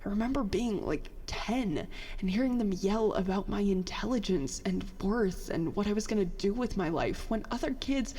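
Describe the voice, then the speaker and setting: An actress playing a bitter, self-doubting young petty officer in a halting, confessional monologue for a science fiction audio drama. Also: female voice, medium pitch, lively intonation, warm tone, breathy edge, big-room sound. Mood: frustrated, wistful. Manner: halting, conversational, self-deprecating, mildly profane.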